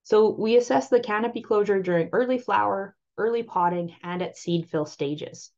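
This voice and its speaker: A woman with a Canadian accent presenting on-farm crop trial results over a slide presentation, explaining them clearly and steadily. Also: medium pitch, normal speed, darkish tone. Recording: slightly muffled voice-over.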